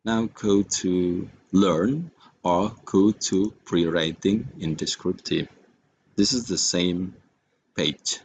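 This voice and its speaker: Indonesian accent guy doing a screen tutorial, gravelly n hesitant, bit of background noise. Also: deep voice, slow pace, darkish tone. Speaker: software tutorial presenter. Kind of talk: unscripted screen-recorded tutorial.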